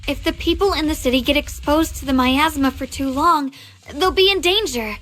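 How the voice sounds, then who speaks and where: Young anime game heroine, high-pitched, earnest, plucky and dutiful, light music behind. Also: female voice, moderate pace, fairly bright tone. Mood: reassuring, determined. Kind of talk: anime-style video game acting.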